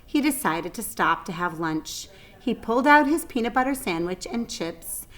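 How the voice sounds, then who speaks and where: A woman's voice: mid-range voice, expressive, normal speed, a bit bright. A woman reading a children's storybook aloud as a teacher would for a class.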